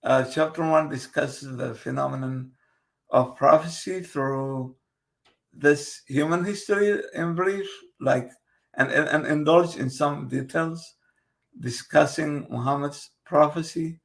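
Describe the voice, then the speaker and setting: A man whose first language is Kurdish, a guest translator speaking haltingly in a casual interview, with frequent pauses and fillers. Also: fairly high pitch, creaky.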